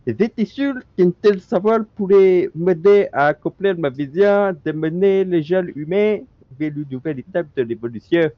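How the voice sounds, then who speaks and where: A man's voice: sits high, expressive, moderate pace, hardly any pauses, no echo, muffled recording. Man stumbling through game text aloud. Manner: stumbling reads with joking asides. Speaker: streamer. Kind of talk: reading in-game documents aloud during a co-op stream.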